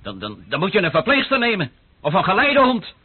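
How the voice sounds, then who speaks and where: Excitable street arguer, radio play, high-pitched, very animated, sharp, narrow old recording. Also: male voice, fast talker, husky, full character voice. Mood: agitated. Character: agitated man in street confrontation, stammering and shouting.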